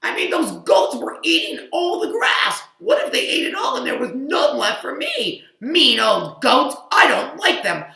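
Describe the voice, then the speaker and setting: Casting note: woman doing an indignant cow, loud and whiny, bright and gravelly. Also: sing-song, a little slow, recorded from a distance, exaggerated voice. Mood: worried, indignant. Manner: shouting complaints, high and strained. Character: fretful, grumpy male farm cow. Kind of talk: improvised children's story. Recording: roomy echo, a little off-mic.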